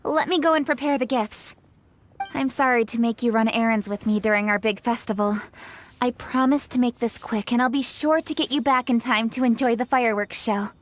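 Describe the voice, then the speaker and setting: A woman's voice: fairly high pitch, lightly acted. Polite, dutiful young woman played by an actress. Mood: apologetic, resolved. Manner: formal, courteous, measured. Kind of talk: scripted video game dialogue.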